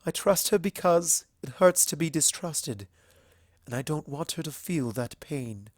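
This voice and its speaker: A man voicing a kind, earnest female alicorn pony princess in a storybook reading, speaking in a solemn, measured way. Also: a little high, expressive, no echo, clean studio sound, voice pushed up. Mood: tender. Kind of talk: fan fiction storybook reading.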